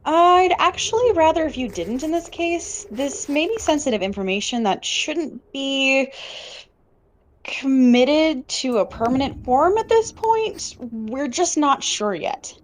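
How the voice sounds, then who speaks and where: A woman's voice: sits high, expressive, slow pace, a little hiss or hum. An actress playing a cautious woman cryptozoologist on a phone call, guarded and careful in how she talks. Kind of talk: audio drama acting.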